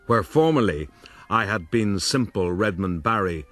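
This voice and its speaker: A vain gentleman adventurer recounts his rise in a radio drama. His voice is fairly low and his delivery formal and pompous, with faint music behind him. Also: lively intonation, unhurried, dry recording. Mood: smug. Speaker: narrator. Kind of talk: first-person radio drama narration.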